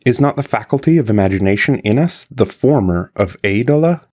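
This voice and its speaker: A man reading an archaic philosophical text aloud in a formal, measured and deliberate manner, like an audiobook narrator. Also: mid-range voice, animated, darkish tone.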